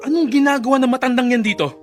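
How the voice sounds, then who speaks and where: A man's voice: extremely high pitch, rapid-fire, soft background music. A Filipino actor playing a hot-tempered, bitter young man in a radio drama, brash and emphatic. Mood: furious, accusing.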